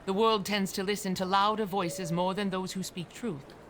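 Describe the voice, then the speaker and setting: An actress playing a kindly, motherly landlady in an audio drama, gentle and encouraging. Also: mid-range voice, fairly even intonation, moderate pace, slight background noise, natural voice. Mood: gentle, reassuring. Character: Mrs. Hudson, kindly, motherly, reassuring landlady.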